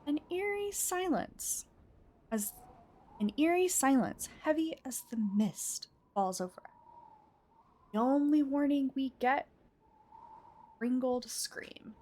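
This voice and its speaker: A woman reading a visual novel aloud as a game streamer, with expressive, theatrical delivery. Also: high voice, takes it slowly, pauses often. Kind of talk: dramatic narration of a visual novel with casual asides.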